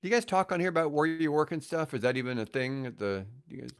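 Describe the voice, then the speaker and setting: A man's voice: a little high, lively intonation, quick pace, clear, resonant tone. An older man telling stories casually as a podcast guest, in a joking, rambling way. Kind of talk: casual chat, storytelling.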